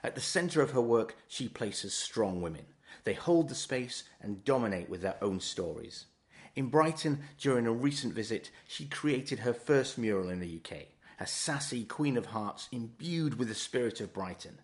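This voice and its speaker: Husky-voiced man hosting a podcast. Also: mid-range voice, lively intonation, normal speed. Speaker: podcast host. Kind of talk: scripted narration and podcast interview.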